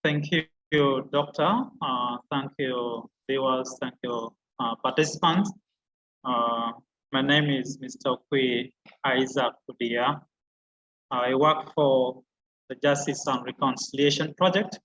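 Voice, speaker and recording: Ugandan-accented male moderator, formal welcome, bright voice, halting delivery, muffled video-call sound. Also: mid-range voice, fairly even intonation. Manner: measured, with frequent "uh" pauses. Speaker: seminar moderator. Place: indoors in Gulu, northern Uganda.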